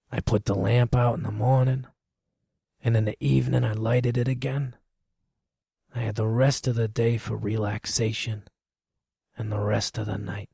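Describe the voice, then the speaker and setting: A man playing a very tired, grumbling, resigned lamplighter in a storybook audio drama, with a gravelly, husky voice. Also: medium pitch, warm tone, dry recording, clean studio sound. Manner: weary, sighing, resigned.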